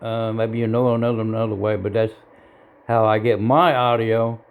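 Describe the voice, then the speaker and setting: A man giving an informal hands-on tutorial in a halting, plain-spoken, self-deprecating way, recorded indoors on a handheld device. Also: lowish voice, level tone, phone-quality sound. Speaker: hobbyist video tutorial presenter. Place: indoors at a home radio bench. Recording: clear background noise, room ambience.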